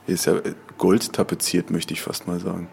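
A man's voice: deep voice, completely flat delivery, a little fast, vocal fry, a little mellow, a little hiss or hum. A man co-hosting a podcast, making casual, joking comments on location indoors. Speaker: podcast co-host. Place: indoor office corridor.

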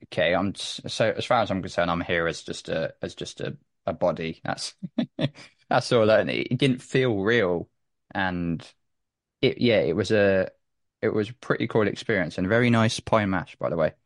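A young man chatting casually as a podcast guest, halting and restarting his sentences often. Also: sits low, dry recording, clean studio sound. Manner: halting, with many restarts and repeated words. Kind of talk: casual chat.

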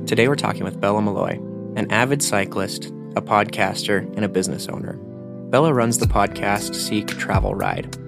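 A man hosting a podcast, reading a measured scripted introduction. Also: fairly low pitch, big pitch swings, creaky. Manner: measured, announcing. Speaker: podcast host. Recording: intro voice over loud guitar music.

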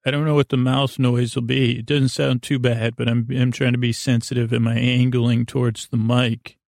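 A man hosting a podcast with a soothing, creaky voice, rambling in a halting, silly, whimsical way as if for bedtime. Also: medium pitch, little pitch movement, a little mellow, dry recording, studio quality. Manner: meandering, halting, silly and goofy. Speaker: podcast host. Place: podcast studio.

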